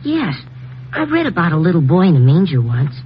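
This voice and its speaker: An actor playing an earnest, devout young boy in a 1950s radio drama, calm and innocent in delivery. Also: on the high side, brisk. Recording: dull, noisy vintage radio recording.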